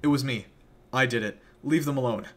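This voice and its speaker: A man's voice: mid-range voice, a little fast, lightly acted. A man playing a frightened, malnourished boy in a horror story, urgent and pleading. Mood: desperate, defiant.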